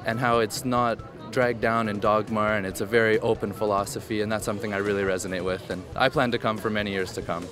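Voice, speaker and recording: Young canadian guy talking fast to a reporter mic, music going behind. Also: fairly low pitch, steady tone. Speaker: interviewee, volunteer. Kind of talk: on-camera testimonial. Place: large busy tent camp. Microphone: handheld reporter microphone. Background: clear music.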